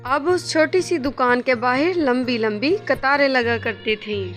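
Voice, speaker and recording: A woman narrates a scripted moral tale. Her voice is high and she reads at a slow, measured pace. Music plays clearly behind the narration. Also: fairly bright tone. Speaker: story narrator.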